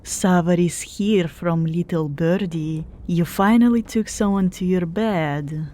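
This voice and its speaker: A woman's voice: fairly low pitch, slow, dark tone. A woman voicing a flirtatious, short-tempered harpy queen for an audiobook, purring in broken English. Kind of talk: fan audiobook character acting. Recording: background music under the voice.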